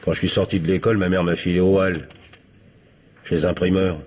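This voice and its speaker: Young jobless working-class man, French radio crime drama: deep, rough voice, guarded, offhand slang. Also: level tone, fairly quick, darkish tone. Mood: defensive.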